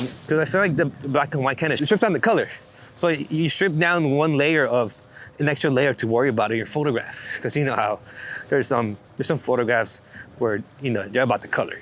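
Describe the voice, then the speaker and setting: A man's voice: mid-range voice, a bit bright, slight background noise. A man chatting casually in a laid-back, rambling way, swearing freely as he talks about his creative work as a photographer. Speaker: street photographer being interviewed. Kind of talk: casual chat.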